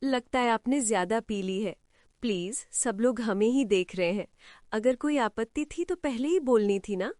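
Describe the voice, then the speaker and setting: Woman acting an expressive, high-voiced affectionate mother. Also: normal speed, no echo, clean studio sound, natural voice. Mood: embarrassed. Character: affectionate, supportive middle-aged mother and mother-in-law. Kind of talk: animated story drama acting.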